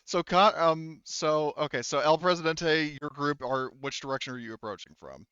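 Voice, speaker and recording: A man's voice: a little high, normal speed, bright, forceful tone, clear, resonant tone, no echo, lo-fi sound. A man game master narrating a tabletop session casually, in informal, sweary language. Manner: informal, profane.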